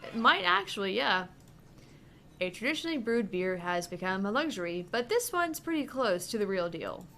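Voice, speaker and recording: A woman's voice: medium pitch, big pitch swings, moderate pace, fairly bright tone, a little hiss or hum. A woman co-hosting a gaming show, reading a visual novel aloud and commenting casually.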